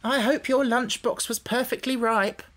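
A man's voice: very high voice, steady tone, normal speed, fairly bright tone, pitched above the performer's own voice. A man voicing a fussy, anxious, questioning talking hen for a storybook. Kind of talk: audiobook character voice.

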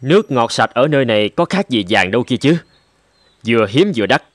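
A middle-pitched, grumbling gold miner played by a man in a cartoon, tired and complaining. The sound is dry and studio-close. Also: sing-song, brisk, no room sound, lightly acted. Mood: weary. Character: weary, grumbling male gold prospectors. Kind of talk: cartoon dialogue.

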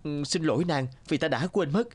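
A man voicing a courteous, earnest young prince in a fairy-tale cartoon, with a gallant, gentle manner. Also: fairly high pitch, a little fast, lightly acted. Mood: bewildered.

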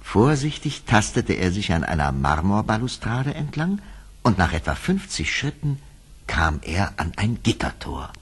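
A man's voice: fairly low pitch, very animated, a little slow, breathy edge. A man narrating a fairy-tale radio play in a scripted, dramatic storytelling manner.

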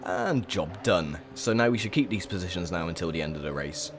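A young man with a croaky, sore voice, chatting casually through gameplay commentary with lots of ums. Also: sits low, expressive, fairly quick, background noise. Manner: chatty, rambling with many ums. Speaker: gaming video host.

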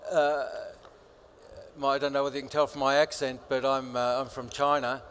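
A man's voice: medium pitch, completely flat delivery, normal speed, a bit bright, slight background noise. A man moderating a conference panel on stage, hesitant, with many fillers and a joking tone. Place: large conference stage with audience.

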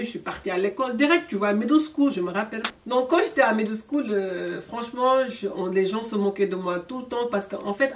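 A woman's voice: medium pitch, distant mic. A young woman from Senegal chatting candidly in a storytime vlog, laughing often and slipping English words in. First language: French. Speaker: YouTuber. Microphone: camera at chest-up framing.

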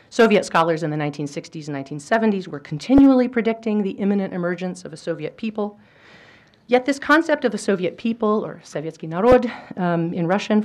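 A middle-aged woman gives a history lecture in a lecture hall. Her voice sits a little low. She speaks thoughtfully and often restarts her phrases with small fillers. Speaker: history professor giving a lecture. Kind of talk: academic lecture with quotations.

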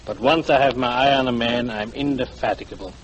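A man's voice: medium pitch, brisk, lightly acted. Man playing an oily, florid 1940s radio fixer. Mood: unctuous, eager. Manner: florid, wordy, over-polite. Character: fat weary self-styled guide, oily, wordy, ingratiating man. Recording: noisy vintage 1940s radio recording.

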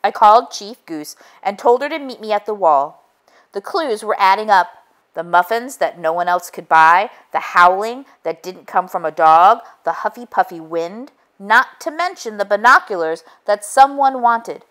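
A woman reading a children's storybook aloud in an expressive storytelling style. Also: medium pitch, normal speed. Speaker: read-aloud narrator.

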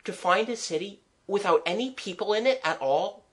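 A reader voicing an eager, high-pitched young boy. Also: normal speed, close to an everyday voice. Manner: excitable, confiding, earnest chatter. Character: eager, imaginative young boy dreaming aloud.

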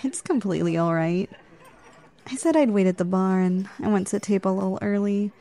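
A woman's voice: medium pitch, a little fast, warm tone, natural voice. Flirty, teasing woman acting on a date. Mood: teasing, coy. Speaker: ASMR roleplayer. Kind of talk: ASMR roleplay.

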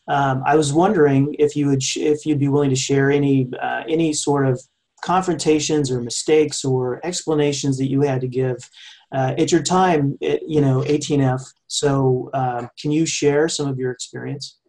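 A man's voice: mid-range voice, fairly even intonation, moderate pace, darkish tone. A man hosting an online town hall, politely asking a guest questions with some hesitation. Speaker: interviewer, host. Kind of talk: interview questions.

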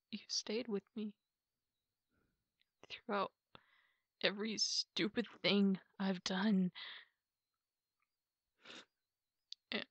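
A woman playing an apologetic, emotional girlfriend in an intimate ASMR roleplay, speaking softly and haltingly. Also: a little high, dry recording, exaggerated voice. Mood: remorseful, hesitant. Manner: halting, soft, breathy delivery with pauses. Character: apologetic, tearful girlfriend pleading to make up. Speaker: roleplay audio performer.

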